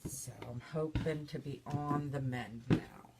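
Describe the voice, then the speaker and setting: A woman making soap, chatting through each step in a casual demonstration, her voice slightly stuffy and hoarse from a cold. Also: low-pitched, slow pace, very noisy. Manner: chatty, narrating each step as she works.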